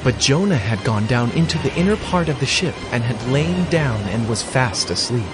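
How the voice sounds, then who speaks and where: A man's voice: medium pitch, expressive, moderate pace, music in the background, lightly acted. A man narrating a dramatized audio Bible as a scripted, dramatic story.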